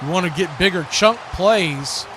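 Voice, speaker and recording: An American man doing live football play-by-play commentary, conversational between snaps and animated on big plays. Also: high-pitched, a little slow, background noise. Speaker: play-by-play sportscaster.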